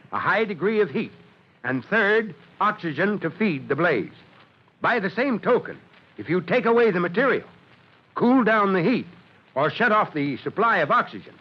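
An actor playing a steady, fatherly American fire chief, measured and commanding, in an old radio drama. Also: high voice, slightly rough, heavily acted. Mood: friendly, instructive. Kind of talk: 1940s-50s radio drama acting. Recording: muffled old radio recording.

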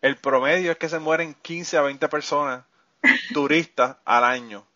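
A man's voice: on the high side, moderate pace, sharp tone. A man with a Puerto Rican accent hosting a podcast, chatting casually, teasing his guest and laughing often. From Puerto Rico. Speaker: podcast host. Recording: dull lo-fi sound.

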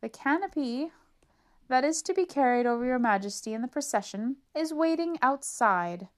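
A woman's voice: high voice, expressive, moderate pace, pitched above the performer's own voice. A woman voicing a formal male master of ceremonies making an announcement. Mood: stately. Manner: announcing, stately.

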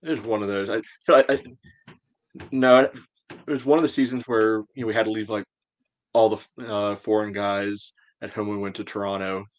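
A man's voice: fairly low pitch, fairly even intonation, normal speed. A man co-hosting a sports podcast, chatting casually in a wry, informal way. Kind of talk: casual soccer fan chat.

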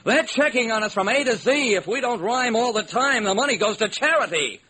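Bright-voiced, exasperated heir. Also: male voice, very high-pitched, expressive, fairly quick, husky, exaggerated voice. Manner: theatrical, emphatic rhyming delivery. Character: exasperated heir forced to speak in rhyme. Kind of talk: old-time radio acting in rhyme. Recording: noisy vintage radio recording.

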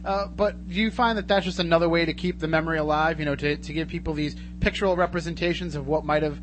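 A man's voice: on the high side, fairly even intonation, fast. A man hosting a talk radio show, speaking casually as he interviews a guest. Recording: noisy radio broadcast with hum.

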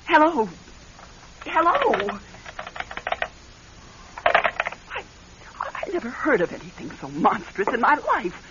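An actress playing a skeptical, high-strung married woman in an old radio drama, halting and emphatic when upset. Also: huge pitch swings, heavily acted. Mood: distressed. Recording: muffled, noisy vintage radio recording.

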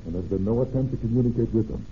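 An actor playing a brisk, plain-spoken county sheriff in an old radio crime drama, matter-of-fact and clipped. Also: male voice, mid-range voice, close to an everyday voice. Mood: frustrated, resigned. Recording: tinny, noisy old radio recording.

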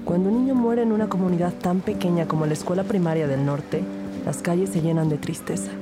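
Audio drama lead: composed woman detective telling her case, acted, measured delivery, slightly low voice, strong score. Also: over loud music, natural voice. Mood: somber. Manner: measured, storytelling. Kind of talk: scripted audio drama narration.

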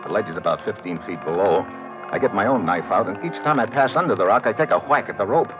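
Vintage radio drama: tough, wry American secret agent played by a male actor, animated delivery, dull old recording over music. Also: on the low side, fast talker, close to an everyday voice. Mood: urgent narrating. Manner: wry, sardonic asides. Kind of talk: 1950s radio drama acting and first-person narration.

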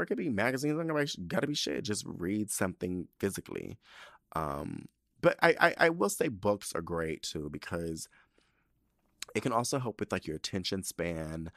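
A man in his thirties talks through his podcast in a loose, rambling style, swearing freely. His low voice swings widely up and down. The sound is dry and close, with no room around it. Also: no room sound. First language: not Spanish. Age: 30s. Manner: animated and profane, with loose, rambling asides. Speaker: podcast host. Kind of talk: casual solo podcast talk.

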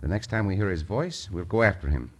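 An actor playing a bold, gallant Mexican gunfighter with a Mexican accent and courtly, commanding phrasing in an old radio western. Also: male voice, low-pitched, lively intonation, a little fast, husky. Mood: hushed, determined. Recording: noisy vintage radio recording.

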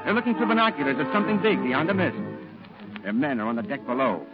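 A man's voice: medium pitch, big pitch swings, quick pace, loud music behind the voice, close to an everyday voice. A male actor as a literate war correspondent telling the story. The narration is sober and reflective, in 1940s radio style. The recording is dull with age. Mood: reflective, suspenseful. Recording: muffled old radio broadcast recording.

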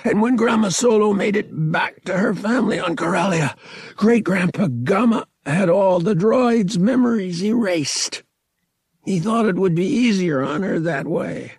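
Guy doing a nasty young cousin in an audiobook, mocking and gleeful, voice jumps up high, kinda gravelly. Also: measured pace, a little mellow, dry recording, clean studio sound, full character voice. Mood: strained, insistent. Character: taunting, cruel young male cousin, sadistically gleeful.

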